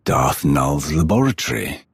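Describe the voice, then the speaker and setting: A man playing a terse, commanding Sith warrior in a video game, with a husky, gravelly voice. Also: a bass voice, sing-song, a little slow, no echo, clean studio sound, exaggerated voice. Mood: resolute.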